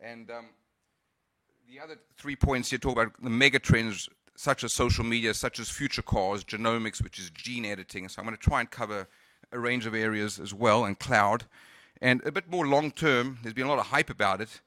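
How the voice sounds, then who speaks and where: Man, South African-Californian accent, conference presentation, halting with fillers, dry close sound. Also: medium pitch, bright, forceful tone, no room sound. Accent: South African and Californian mix. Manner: hesitant, many fillers and restarts. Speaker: conference speaker.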